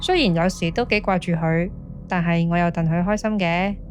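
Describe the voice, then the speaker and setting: A woman's voice: on the low side, steady tone, moderate pace, soft background music, close to an everyday voice. An actress with a Hong Kong Cantonese accent playing an earnest young woman recounting a friend's eerie experience in a ghost-story podcast. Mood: earnest, suspenseful. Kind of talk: scripted ghost-story monologue.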